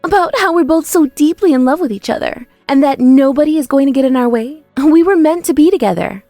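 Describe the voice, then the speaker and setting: Bratty cutesy young woman, very high and sing-song, as a woman narrator puts her on. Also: expressive, brisk, mellow, no echo, faint music behind.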